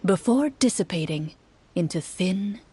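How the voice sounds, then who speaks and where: A woman playing a composed, formal young game master in an anime game, narrating in a measured storytelling manner. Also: fairly low pitch, expressive, warm tone, slightly rough, full character voice. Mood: solemn storytelling.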